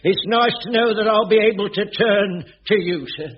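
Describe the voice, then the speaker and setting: An actor playing an anxious, boastful older caretaker in a radio sitcom, flustered and stammering. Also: male voice, sits high, normal speed, very noisy, close to an everyday voice. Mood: pleading. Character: anxious, boastful elderly cockney caretaker, cowardly. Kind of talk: radio sitcom acting before an audience. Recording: muffled radio recording with studio audience.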